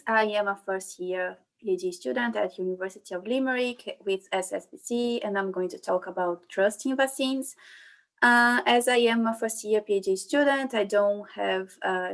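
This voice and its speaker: Woman, 20s, Brazilian Portuguese accent, online science talk, hesitant, many fillers, video call mic. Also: mid-range voice. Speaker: guest speaker, PhD student. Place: home room, online event. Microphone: computer mic on video call.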